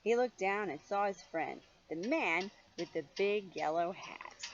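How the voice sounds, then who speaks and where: A woman's voice: mid-range voice, lively intonation, measured pace, no room sound, lo-fi sound. An older woman, a grandmother reading a children's story expressively, with chatty questions to her young listener.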